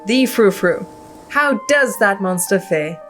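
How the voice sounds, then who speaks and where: A woman's voice: mid-range voice, expressive, slow pace, faint music behind. A woman voicing a haughty, genteel talking dog in a visual novel game, with florid old-fashioned phrasing. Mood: catty.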